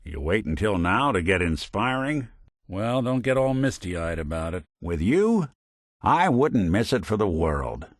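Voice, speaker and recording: A man's voice: fairly low pitch, sing-song, unhurried, dry recording, studio quality. A male actor playing a world-weary, principled android detective in a video game, with a wry, folksy hardboiled way of talking and old-fashioned slang. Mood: warm, wry. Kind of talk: video game companion dialogue, noir detective.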